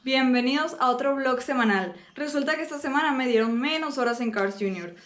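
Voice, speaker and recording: A woman's voice: sits high, fairly quick, fairly bright tone. A young woman with a Mexican accent, telling stories from her week in a chatty, colloquial vlog style. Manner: chatty, colloquial, acts out reported dialogue. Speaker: vlogger. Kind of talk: casual vlog storytelling.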